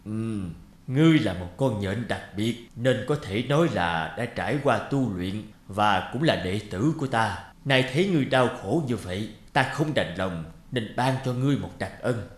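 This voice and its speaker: A man voicing a wise old deity in an animated fairy tale, solemn, measured and lecturing, stern yet compassionate. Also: lowish voice, natural voice. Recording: roomy echo over guitar music.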